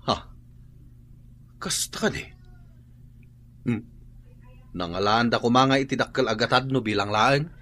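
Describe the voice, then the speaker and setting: An actor with an Ilocano accent playing a grumbling, doubtful husband in a radio drama. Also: male voice, mid-range voice, unhurried, pauses often, pushed and bright. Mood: disbelieving. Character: grumbling, doubtful husband living in the rented house.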